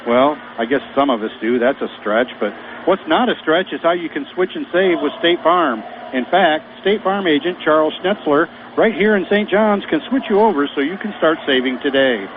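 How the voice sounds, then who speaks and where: A man commentating on local radio sounds easygoing and conversational. His voice sits a bit high. It comes through a narrow, phone-like line with plain noise behind it. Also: animated, normal speed. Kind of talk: ad reading and pregame banter.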